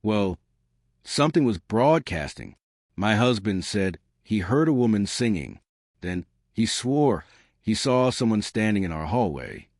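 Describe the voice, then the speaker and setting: A man voicing a shaken, low-voiced woman neighbor. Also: big pitch swings, unhurried, dry recording, studio quality, heavily acted. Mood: uneasy. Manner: quiet, uneasy. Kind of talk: horror storybook dialogue.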